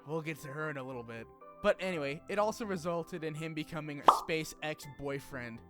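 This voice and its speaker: A man hosting a video essay, reading a scripted game story recap with informal, animated asides and some swearing. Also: on the high side, brisk. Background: music under the voice.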